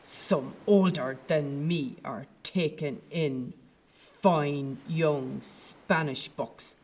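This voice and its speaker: A woman's voice: low voice, heavily acted. Actress doing grumbly old irish cowherd woman, sardonic and scornful, takes her time, radio play style. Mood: gossipy, impatient. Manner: colloquial, sardonic. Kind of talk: audio play acting.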